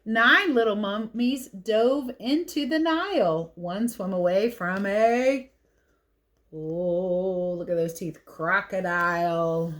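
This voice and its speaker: A woman reading a picture book aloud to children like a teacher, expressive and chatty, with casual explanatory asides. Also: mid-range voice, slow pace.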